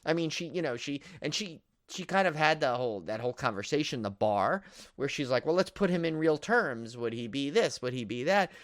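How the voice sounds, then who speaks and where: A man co-hosting a horror movie podcast, talking casually and with enthusiasm in a halting, rambling way as he keeps correcting himself. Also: medium pitch, animated, no room sound, studio quality. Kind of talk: casual film discussion.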